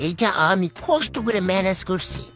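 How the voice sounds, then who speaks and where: Woman acting a wheedling old beggar man, swooping pitch. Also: animated, normal speed, natural voice. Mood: boastful, protesting. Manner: coaxing, mixes in broken Hindi words. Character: pleading, wheedling old male beggar, lovestruck and crafty. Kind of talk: comic cartoon dialogue. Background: music.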